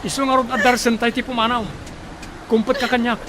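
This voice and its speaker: A man's voice: very high-pitched, level tone, a little fast. A man playing an anxious, protective man in danger in a radio drama. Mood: urgent, protective. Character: anxious, protective man guiding companions through landslide. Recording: loud outdoor storm-like noise.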